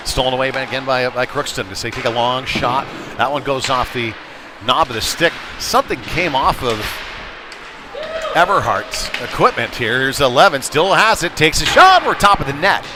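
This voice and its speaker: A man's voice: mid-range voice, animated, moderate pace, background noise. A man doing casual, conversational live play-by-play commentary of a youth hockey game from a rink, on a headset. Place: chilly ice rink.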